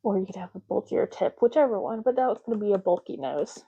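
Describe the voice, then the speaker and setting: A woman speaks softly in a mellow, relaxed voice with a medium pitch. She plays a clerk in an ASMR roleplay, casually explaining choices to the listener. The recording sounds slightly dull and lo-fi. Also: fast talker, breathy edge. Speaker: ASMR creator playing a clerk making ID cards. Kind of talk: soft-spoken ASMR roleplay.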